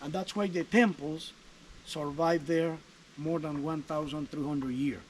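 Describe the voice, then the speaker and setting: Guy guiding a jungle ruins tour, high voice, chatty, some noise behind. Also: steady tone, measured pace, rough recording. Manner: explanatory, chatty with restarts. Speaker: tour guide. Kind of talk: guided tour talk. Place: outdoors at a jungle archaeological site. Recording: faint outdoor noise.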